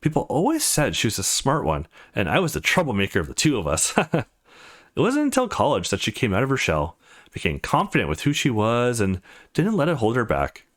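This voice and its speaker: Man reading as a caring, animated adult woman. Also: medium pitch, brisk. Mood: reminiscing. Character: friendly, caring adult woman in a visual novel.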